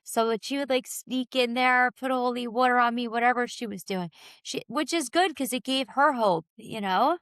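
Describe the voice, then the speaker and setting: Raspy lady on a podcast just talking through her life story, kinda high voice, very dry sound, says like a lot. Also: moderate pace, a bit bright, dry recording. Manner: informal, peppered with "like" and "you know". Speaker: podcast guest telling her own story. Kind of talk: candid personal storytelling.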